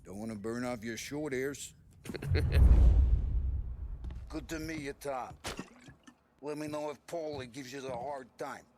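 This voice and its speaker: An actor playing a genial, chatty 1930s mob armorer with a streetwise American accent in a video game. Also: male voice, medium pitch, measured pace, long pauses, close to an everyday voice. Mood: playful, helpful. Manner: colloquial, wisecracking, easygoing. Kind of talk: video game cutscene acting. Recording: loud background noise with clicks.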